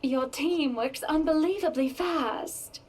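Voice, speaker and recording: High-voiced, effusive rich lady. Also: slow, crowd noise. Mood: gracious. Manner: effusive, genteel. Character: wealthy, gracious, effusive lady buyer, polished and self-assured. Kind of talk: visual novel voice acting.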